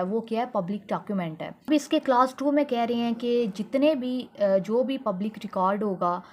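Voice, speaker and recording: Female lecturer, Pakistani accent, medium pitch; instructive delivery that restates points for students. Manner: didactic, repeats and rephrases points. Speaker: law lecturer. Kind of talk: explanatory lecture mixing in English legal terms.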